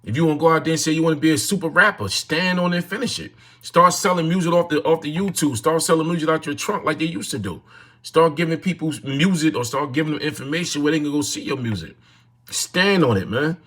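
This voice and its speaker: A man nearing fifty, speaking into his phone on a casual livestream. His voice is bright and forceful with a husky edge. He talks in a repetitive, sermon-like way and greets viewers in the chat by name. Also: on the high side, fast talker. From North New Jersey, USA. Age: 40s. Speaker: livestream host.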